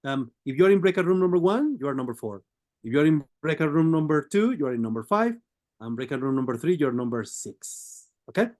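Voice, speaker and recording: A man's voice: fairly high pitch, lively intonation, a little fast, darkish tone. A man teaching an online class over a video call, patiently prompting students with questions. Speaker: language teacher.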